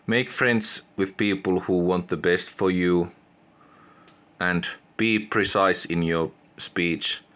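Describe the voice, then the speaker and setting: A man with a Finnish accent giving casual, unscripted opinions in halting English, pausing mid-sentence. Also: low-pitched, a bit bright. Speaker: video creator giving opinions.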